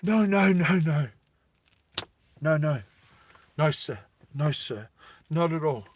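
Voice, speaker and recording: A man's voice: a little high, animated, slow pace, a little hiss or hum. A man voicing a frightened, meek mother who pleads desperately and repeats herself deferentially. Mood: pleading, afraid.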